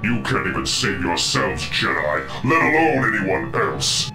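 An actor playing a menacing, pompous video game villain who taunts and gloats. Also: male voice, a bass voice, big pitch swings, unhurried, music in the background, full character voice. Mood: gloating, menacing.

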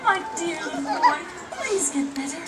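Woman playing a very high-voiced, caring nanny. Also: huge pitch swings, slow pace, loud music behind the voice, a loud crowd, heavily acted. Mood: pleading. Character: tender, obedient nanny caring for a sick boy. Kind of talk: children's puppet show acting. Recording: distant, very noisy puppet theater recording.

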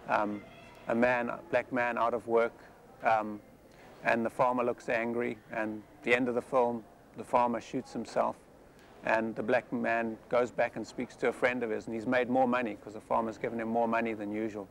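A South African man in his forties speaks in a gravelly, fairly flat voice, weighing his words with many small pauses. He is recorded outdoors on steps in a city square, with faint street noise behind him. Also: mid-range voice. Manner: measured, thoughtful, with many hesitations. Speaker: film director. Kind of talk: interview monologue.

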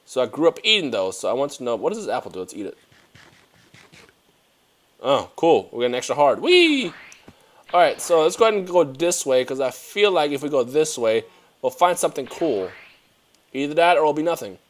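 A young man who grew up in Germany, chatting excitedly and rambling as he plays a video game, speaking into a headset mic at home. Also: on the high side, fairly quick, pauses often. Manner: excitable, rambling, talks to himself while playing. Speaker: gaming youtuber. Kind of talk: casual let's play commentary.

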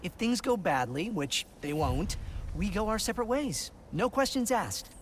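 A man's voice: sits high, big pitch swings, moderate pace, slight background noise. A man playing a young, friendly, earnest cat-like alien hero in a video game. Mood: friendly, hesitant. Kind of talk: video game cutscene acting. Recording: loud music behind.

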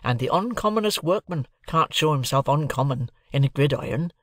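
A man voicing a simple, kindly male village blacksmith who speaks slowly and earnestly in rustic speech. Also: a little high, lively intonation, no room sound, clean studio sound, natural voice.

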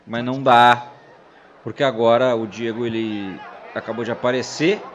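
A man's voice: mid-range voice, steady tone, moderate pace. A man hosting and commentating casually over old fight footage, joking with his co-host. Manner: chatty, jokes with his co-host. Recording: dull sound with loud crowd behind. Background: crowd noise from fight footage.

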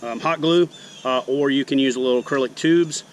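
A man in his forties, a fishing show host, casually demonstrating tackle tips in a backyard, speaking in a relaxed, folksy way. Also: medium pitch, a little fast, a little hiss or hum. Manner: relaxed, folksy explaining, plain talk. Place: backyard lawn outdoors. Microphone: camera mounted close on chest.

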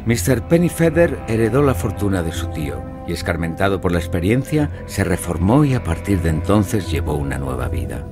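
Self-assured gentleman sleuth, radio drama, lowish expressive voice, formal storytelling over music. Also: brisk, few pauses. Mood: reflective. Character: clever, self-assured gentleman witness explaining his scheme. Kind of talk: audio drama acting, narrating.

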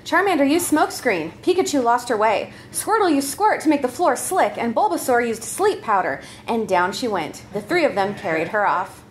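A woman's voice: fairly high pitch, a little hiss or hum. A woman reading a comedic story aloud live, narrating in a playful, giggly way and readily breaking character. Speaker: troupe member reading own comedy story.

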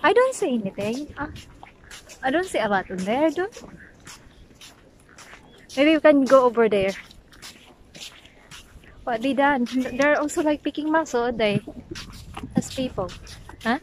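A woman's voice: high-pitched, animated, a little slow, pauses often, a little hiss or hum, low-quality recording. A young woman from the Philippines with a Filipino accent, a Bisaya native speaker, chatting casually in a travel vlog with hesitant English, talking into a handheld selfie camera in a van and on a beach. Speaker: vlogger. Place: inside a van, then outdoors on a sandy beach.

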